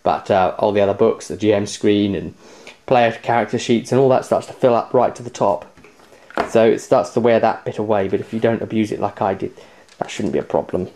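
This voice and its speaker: A man's voice: lowish voice, brisk, dark tone, a little hiss or hum. Playful man reviewing a game. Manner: playful, jokey, theatrical flourishes, chatty. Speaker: host of a tabletop game review show. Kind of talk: casual unboxing review to camera.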